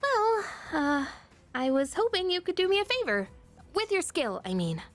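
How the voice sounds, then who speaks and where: High, swooping inquisitive schoolgirl. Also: sing-song, normal speed, faint music behind. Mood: tentative, curious. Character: frank, inquisitive, casual teenage schoolgirl. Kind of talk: English video game voice acting.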